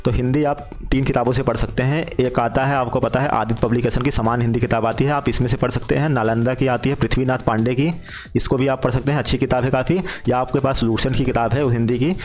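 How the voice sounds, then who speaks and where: Male presenter, mid-pitched, brisk informal explainer delivery with repeated key points, over background music. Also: fairly even intonation. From India. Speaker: job exam guidance presenter.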